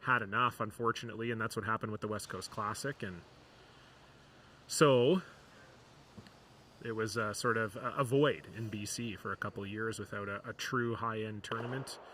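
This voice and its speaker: Bright-voiced male curling commentator. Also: fairly low pitch, moderate pace, slight background noise. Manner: relaxed, chatty, reads sponsor lists. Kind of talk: sports commentary.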